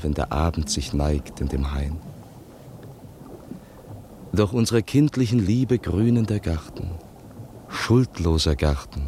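An actor playing a composed, literate male companion in a radio drama, with a measured, lyrical, erudite delivery. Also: deep voice, a little mellow, a little hiss or hum. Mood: lyrical, tender.

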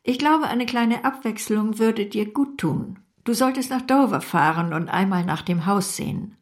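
An actress playing a brusque, sharp-tongued older aunt in a radio play, blunt and commanding. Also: female voice, medium pitch, normal speed, natural voice. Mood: proud, caring. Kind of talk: German radio play acting.

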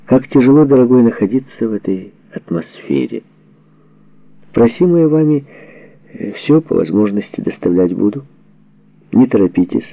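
A man reading a historical book as a narrator, in a measured, formal delivery, working through letters and documents. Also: mid-range voice, expressive, gravelly, dry recording, narrow, tinny audio. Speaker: audiobook narrator.

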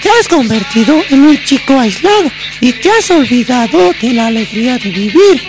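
An actress playing a caring, optimistic friend in a radio drama, earnest and consoling. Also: female voice, sits high, very animated, moderate pace, loud music behind the voice. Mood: reassuring, earnest.

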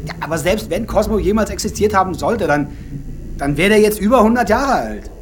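A sceptical, defensive officer in a radio drama. His high voice moves expressively through blunt, offhand replies, over clear background noise. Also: lively intonation, fairly quick. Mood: wary.

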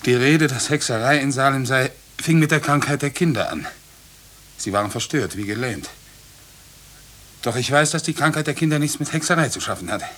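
An actor as an earnest, troubled village husband. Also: male voice, mid-range voice, a little fast, a bit bright, lightly acted. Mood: earnest, reluctant. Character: plain-spoken, stubborn, troubled male villager and husband. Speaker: radio drama actor. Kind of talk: 1950s German radio play acting. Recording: hissy 1950s radio recording.

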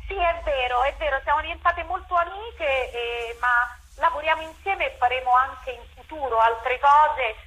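A woman's voice: high-pitched, normal speed, hardly any pauses, pushed and bright, low-quality recording. A woman theatre director answering interview questions, repeating words as she searches for phrasing.

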